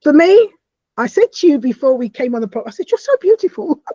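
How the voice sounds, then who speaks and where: A woman from South America hosting a podcast interview, talking in halting, repeated starts with animated bursts. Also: high-pitched, dry recording, muffled recording. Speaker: podcast host. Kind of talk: conversational interview.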